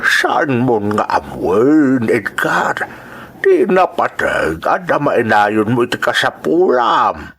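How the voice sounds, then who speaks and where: A man with an Ilocano accent playing a gentle, caring old father with a husky voice in a radio drama. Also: mid-range voice, wildly swooping pitch, slow, heavily acted. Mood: tender, insistent.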